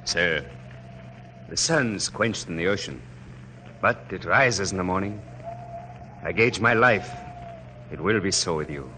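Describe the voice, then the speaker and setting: Deep-voiced, ardent young officer. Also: male voice, animated, measured pace, long pauses. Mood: devoted. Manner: impassioned, oratorical. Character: ardent, idealistic young French nobleman officer, deferential. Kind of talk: classic radio drama acting. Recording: noisy old radio recording.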